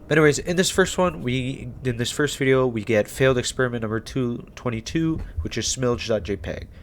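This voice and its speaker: A man's voice: mid-range voice, brisk, slight background noise. A man hosting a YouTube explainer in a casual, irreverent, conversational way. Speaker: YouTube host. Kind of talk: casual horror series explainer.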